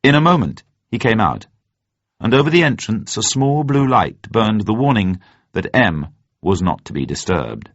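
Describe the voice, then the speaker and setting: A man reading a dramatised narration in a formal, measured and deliberate way. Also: fairly low pitch, big pitch swings, no room sound, dull audio. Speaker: audiobook narrator.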